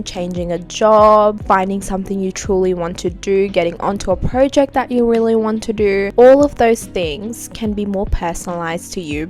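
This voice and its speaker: A woman's voice: medium pitch, a little mellow. A woman in her twenties, slightly congested, giving earnest, encouraging advice straight to her viewers in a casual vlog. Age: 20s. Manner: earnest, encouraging, addresses viewers as "you guys". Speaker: vlogger. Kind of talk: direct-to-camera advice vlog.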